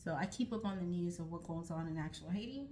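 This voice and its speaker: A young woman from the United States talks straight to her viewers in a sincere, thoughtful way, circling back and rephrasing as she goes. Her voice sits a little low for a woman. A faint low rumble runs underneath. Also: animated, fast talker, dark tone. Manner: earnest, reflective, with frequent restarts and "like". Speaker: vlogger starting a nonprofit. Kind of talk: earnest personal talk to camera.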